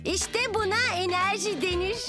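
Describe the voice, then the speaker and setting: Very high-voiced peppy cartoon coach. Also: female voice, steady tone, moderate pace. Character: peppy, driven female star athlete and coach. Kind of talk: animated children's show dubbing. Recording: music under the dialogue.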